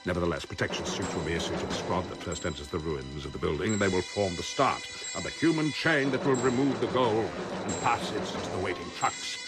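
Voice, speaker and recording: An actor in a radio drama playing a pompous, coldly ruthless criminal mastermind who talks formally and deliberately with polite menace. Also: male voice, sits low, lively intonation, loud music behind the voice. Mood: smug, formal.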